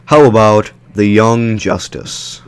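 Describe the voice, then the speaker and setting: A man's voice: lowish voice, takes it slowly, clear, resonant tone. A man playing a grudging young male superhero in an audio drama. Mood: earnest. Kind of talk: amateur audio drama acting.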